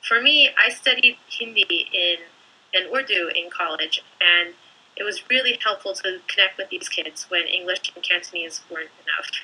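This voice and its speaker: Woman, measured, reflective, prepared statement. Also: fairly low pitch, sharp tone, a little hiss or hum, low-quality recording.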